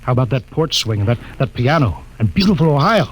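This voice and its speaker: An actor playing a brisk, authoritative male spaceship captain in a 1950s radio drama. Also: mid-range voice, sing-song, slight background noise, lightly acted. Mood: bewildered.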